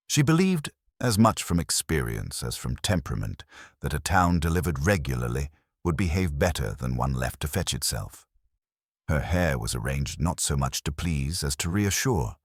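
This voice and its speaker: A man reads a mystery story as a narrator, with a remarkably deep voice. His intonation moves freely while his delivery stays measured and formal, with a wry edge. The sound is dry, with hardly any room to it. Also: dry recording. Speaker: audiobook narrator.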